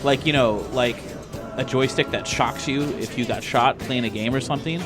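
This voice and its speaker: A man who talks in a rambling, excitable, profane way as a player in a casual tabletop role-playing game. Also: medium pitch, moderate pace. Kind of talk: casual improvised tabletop role-play chat. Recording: music behind the voice. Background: music.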